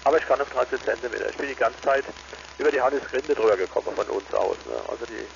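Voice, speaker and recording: A man's voice: medium pitch, fast talker, vocal fry. A man chatting casually as an amateur radio operator over a two-way transmission. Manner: tags statements with "ne?". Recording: dull, noisy ham radio transmission.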